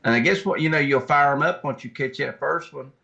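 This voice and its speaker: A man's voice: a little high, fairly quick, gravelly, sharp tone. A man chatting casually as an interview guest in a plainspoken way, on dull lo-fi audio. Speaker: podcast guest, tournament kayak angler.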